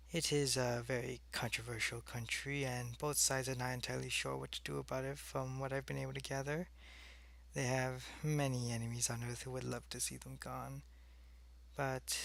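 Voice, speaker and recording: A man playing an alien agent in a sci-fi audio roleplay, explaining things earnestly in a measured, matter-of-fact way. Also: mid-range voice, creaky, sharp tone, no echo. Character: earnest alien agent briefing his superior on humans.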